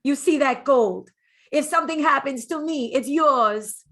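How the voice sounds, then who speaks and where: A woman's voice: high voice, unhurried, a bit bright. A woman voicing a self-assured older male painter and lover, emphatically, within a theatrical monologue. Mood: confiding.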